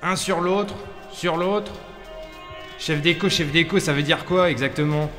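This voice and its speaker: A man's voice: a little high, measured pace, a bit bright. Bitter set decorator in heavy reverb, acted by a man. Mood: weary, disillusioned. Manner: sardonic, repetitive, theatrical. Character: bitter, weary film set decorator venting in monologue. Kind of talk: radio play monologue. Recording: long reverb, faint music behind.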